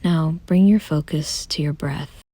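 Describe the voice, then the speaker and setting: A woman softly guiding a meditation in a gentle, calm, unhurried way, often close to a whisper. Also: low-pitched, no room sound. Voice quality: soft, breathy, often near a whisper. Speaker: meditation guide. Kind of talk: soothing guided meditation, scripted.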